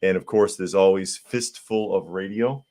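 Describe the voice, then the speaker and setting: A man with a sore, hoarse throat who hosts a live podcast, reading viewer comments aloud in a plain-spoken, casual way. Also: sits low, normal speed, warm tone. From United States. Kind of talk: casual live-stream chat.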